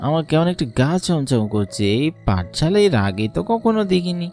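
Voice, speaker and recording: A man's voice: a little high, sing-song, brisk, a little mellow. A man voicing a hesitant, deferential village schoolboy in a horror cartoon. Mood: uneasy. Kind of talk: dubbed horror cartoon dialogue. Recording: music under the voice.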